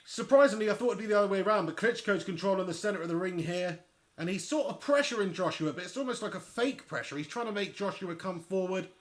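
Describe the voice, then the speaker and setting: Excitable man commentating a fight. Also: high voice, fast. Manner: chatty and excitable, talks straight to viewers. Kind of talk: live reaction commentary to a boxing match.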